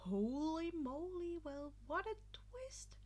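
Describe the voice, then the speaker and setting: A woman reading fiction aloud with expressive, dramatic narration and a casual, informal touch. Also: very high-pitched, takes it slowly. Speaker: podfic reader.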